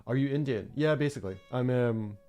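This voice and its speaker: A man's voice: mid-range voice, fairly quick, dark tone, faint music behind. A young man from Norway chatting on a drawing livestream, rambling and reflective with many fillers and restarts. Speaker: art streamer. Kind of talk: casual chat while drawing on a livestream.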